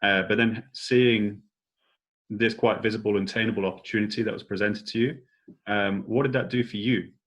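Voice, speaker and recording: Low-voiced man casually hosting a discussion. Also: steady tone, moderate pace, fairly bright tone, muffled recording. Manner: informal, tentative, peppered with "like". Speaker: discussion host. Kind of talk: casual, conversational interviewing.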